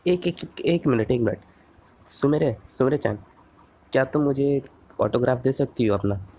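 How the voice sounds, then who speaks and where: Mellow, earnest boy superhero played by a man. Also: mid-range voice, expressive, a little slow. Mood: eager. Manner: pleading, repeats words when flustered. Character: earnest, excitable boy superhero, starstruck and inquisitive.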